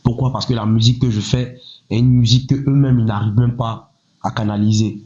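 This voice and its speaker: A man in his thirties from Côte d'Ivoire, a rapper speaking in a measured, self-assured way, often pausing on "voilà" and asking himself rhetorical questions. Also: mid-range voice, steady tone, dark tone. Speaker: rapper, interview guest. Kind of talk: casual interview talk. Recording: slightly muffled, lo-fi sound.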